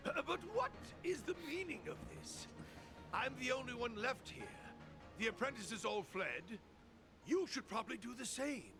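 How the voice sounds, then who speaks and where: A man's voice: very high-pitched, very animated, moderate pace, heavily acted. A man playing a melodramatic, dutiful old male artisan in a fantasy game, florid and prone to stammering. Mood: flustered. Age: older. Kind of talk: theatrical video game voice acting. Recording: loud game music behind.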